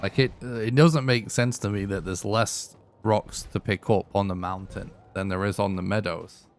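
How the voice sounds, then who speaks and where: A young man streaming live gameplay who is losing his voice, thinking aloud and clearing his throat often. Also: lowish voice, fairly even intonation, moderate pace, a little hiss or hum. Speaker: game streamer.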